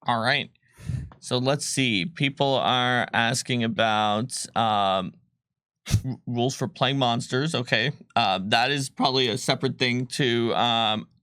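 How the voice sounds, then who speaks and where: A man co-hosting a game design live stream, talking casually with many pauses and fillers. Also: mid-range voice, clear, resonant tone, no echo, clean studio sound. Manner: relaxed, hesitant, many fillers.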